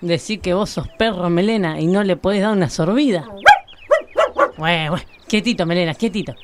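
A woman's voice: on the low side, moderate pace, heavy background noise, close to an everyday voice. A woman playing a spirited, nosy country heroine with an Argentine accent in a radio play. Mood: chatty.

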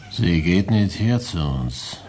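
An actor with a Bavarian accent playing a gloomy, resigned ageing tavern regular in a radio play. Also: male voice, sits low, big pitch swings, slow, darkish tone, heavily acted. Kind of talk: 1960s Bavarian radio drama dialogue.

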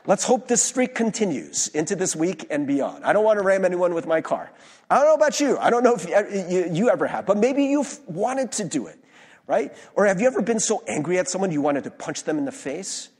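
A male pastor giving a conversational sermon in a church, hoarse-voiced, informal and self-deprecating, with many fillers and restarts. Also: high-pitched, very animated, fairly quick, a little mellow. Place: church sanctuary. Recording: long reverb of a large hall.

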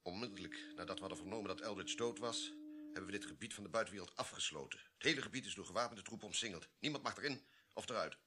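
An actor playing an imperious, ruthless army colonel in a radio play, speaking in a curt, formal, commanding way. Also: male voice, on the low side, fast talker, bright, forceful tone. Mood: urgent, explaining. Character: imperious, ruthless male army colonel guarding a deadly secret. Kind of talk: Dutch radio play acting.

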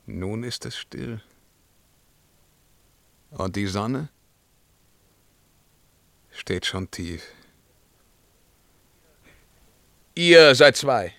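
Tormented emperor for verse radio play: slow, forceful delivery, brooding and declamatory, swinging from murmur to outcry. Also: male voice, mid-range voice, no room sound, exaggerated voice. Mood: solemn wondering. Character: anguished, brooding male emperor, burdened by his crown. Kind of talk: theatrical verse radio drama acting.

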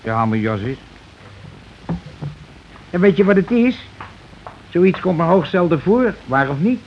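A man's voice: high voice, sing-song, measured pace, heavily acted. A blustering working-class husband in an old radio play. He lays down the law in colloquial speech and pushes for agreement. The recording is muffled, with some noise behind the voice. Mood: grumbling, searching. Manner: argumentative, colloquial, keeps demanding agreement. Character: bossy, blustering working-class husband and landlord, war veteran.

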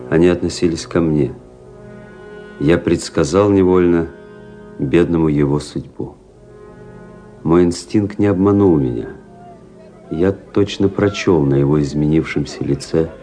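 Deep-voiced officer narrator. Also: male voice, warm tone, exaggerated voice. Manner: measured, literary, grave. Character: young male army officer recounting his tale, composed and daring. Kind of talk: dramatic scripted narration in a radio play. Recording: music behind the voice.

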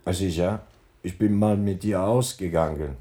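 Male actor as guarded businessman in radio crime drama; low, mellow voice, clipped phrases. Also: moderate pace, natural voice. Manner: clipped, simple broken phrasing. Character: guarded foreign businessman, sick in bed with a cold.

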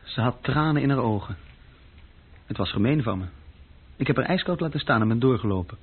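Restless young clerk, thin phone-like sound. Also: male voice, mid-range voice, animated, a little fast, husky. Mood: reflective, restless. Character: young male office clerk, restless, self-reproaching, recounting his escapade. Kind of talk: radio play dialogue and recollection. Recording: thin, phone-like old radio recording.

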